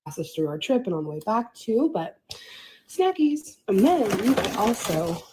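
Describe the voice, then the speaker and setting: A woman narrates a travel vlog with bubbly excitement and frequent giggles. Her voice sits in a middle pitch. Faint noise runs behind her. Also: unhurried. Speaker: vlogger.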